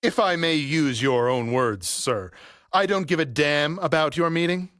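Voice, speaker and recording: An actor playing a vengeful graying barber in an audio play, speaking with servile politeness and cool menace. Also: male voice, a little high, unhurried, a bit bright, no room sound, clean studio sound. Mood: mocking.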